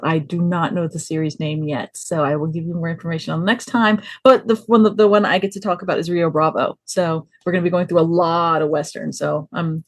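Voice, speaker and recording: A woman's voice: fairly low pitch, fairly even intonation, brisk, hardly any pauses, no room sound. A woman on a podcast panel chatting casually, self-deprecating and stumbling a little over her words. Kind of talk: casual chat.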